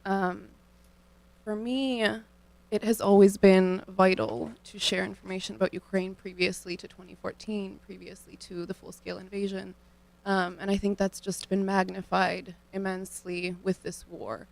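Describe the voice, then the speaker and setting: A young woman from Ohio, a student guest on a radio show, answering questions in an earnest, measured, thoughtful way into a studio microphone. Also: medium pitch, little pitch movement, gravelly, a little mellow, rough recording. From Cleveland, Ohio, United States. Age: young adult.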